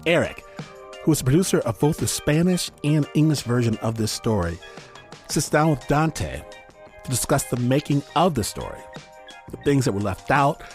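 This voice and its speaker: A man's voice: medium pitch, big pitch swings, normal speed, a little mellow. A man hosting a podcast, reading scripted credits and introductions. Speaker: podcast host. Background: music.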